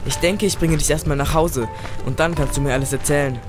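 Guy acting a worried friend in a radio play, fairly high voice, faint music. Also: steady tone, fairly quick, natural voice. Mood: concerned. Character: concerned male friend of the heroine. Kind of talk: German audio drama acting.

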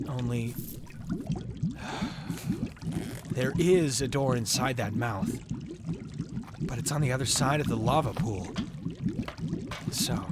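A man acting a beleaguered young adventurer trapped in a dungeon in a fantasy audio drama, talking to himself in halting asides. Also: medium pitch, very noisy. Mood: exasperated. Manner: talks to himself in halting, breathy asides with sighs. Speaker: voice actor.

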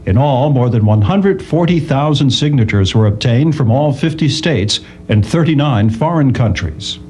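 A man's voice: mid-range voice, lively intonation, moderate pace, darkish tone, slight background noise. A man reading scripted narration as a documentary narrator.

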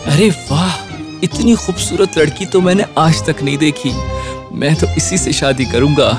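An actor playing an eager, confident young man with an excitable manner in a children's fairy-tale cartoon. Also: on the high side, over music, natural voice. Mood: lovestruck, eager.

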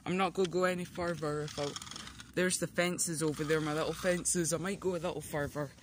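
A cheerful, boastful toy plumber rambles on about his new farm, as a young man voices him. The voice sits a little high, with a faint hiss behind it. It is recorded outdoors in a back garden on a handheld phone. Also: steady tone, a little fast, close to an everyday voice. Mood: chatty. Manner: rambling, chatty, show-and-tell. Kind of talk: toy play video narration. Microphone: phone held by the filmer.

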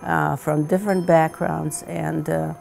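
A woman, a retired teacher and weaver, speaking thoughtfully with many pauses and fillers in a seated interview at home. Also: deep voice, flat delivery, vocal fry, darkish tone, soft background music. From Croatia. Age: 70s. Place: home living room, indoors.

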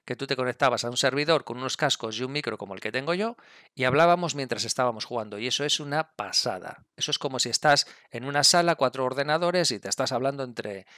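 A man's voice: mid-range voice, expressive, fast talker, sharp tone, no room sound, clean studio sound. A middle-aged man with a Spanish accent from Spain explains things casually to camera on a headset mic, often checking in with listeners. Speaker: video creator, gamer and motorcyclist. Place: indoors at a desk with a computer. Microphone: gaming headset mic, close.

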